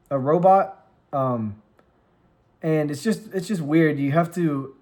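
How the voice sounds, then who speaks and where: An American man in his late twenties speaks in a slightly raised register, close to a microphone in his own room. His talk is earnest and meandering, full of restarts and trailing pauses, like an unscripted vlog. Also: a little high. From United States. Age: 20s. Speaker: vlogger and streamer. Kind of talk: casual reflective vlog. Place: room at home with lights, plants and a cat on his lap. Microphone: studio microphone.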